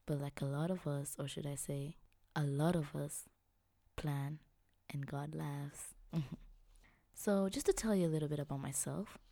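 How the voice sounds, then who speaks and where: A woman's voice: low voice, unhurried, gravelly, long pauses, mellow, dry recording. A young woman, hosting a podcast and telling her own story in a reflective, personal way. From Tanzania. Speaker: podcast host.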